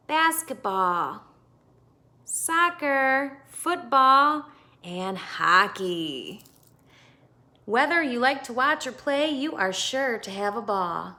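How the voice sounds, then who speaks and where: An American woman teacher reading a picture book aloud to children, expressive and instructive, pausing to put questions to her young listeners. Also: a little high.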